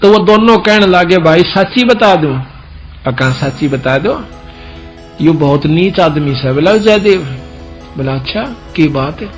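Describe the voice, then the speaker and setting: A man's voice: fairly high pitch, lively intonation, a little slow, soft background music. A man with a Haryanvi accent preaching through a folk story, telling it colloquially and voicing the dialogue as reported speech. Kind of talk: religious discourse told as a folk story.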